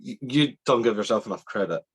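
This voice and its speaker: A man's voice: on the low side, expressive, quick pace, slightly rough. Young Scottish male podcast host, chatty and self-deprecating, webcam audio from a small home bedroom. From Scotland. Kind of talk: casual video-call interview. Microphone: video call webcam.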